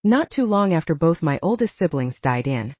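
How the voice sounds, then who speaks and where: Narrator playing a candid, wounded woman ranger, lively pitch. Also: moderate pace, slightly rough, no echo, highs cut off, full character voice. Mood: bitter, confiding. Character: wounded, self-reliant human woman ranger confiding family troubles. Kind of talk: audiobook character dialogue.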